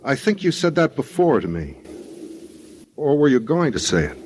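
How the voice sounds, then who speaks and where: An actor playing an earnest, polite, bewildered and lonely son in an old-time radio drama. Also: male voice, medium pitch, sing-song, normal speed, darkish tone, slight background noise, lightly acted. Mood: polite, puzzled. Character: bewildered, lonely son lost in feverish dreams. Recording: old radio broadcast with musical underscore.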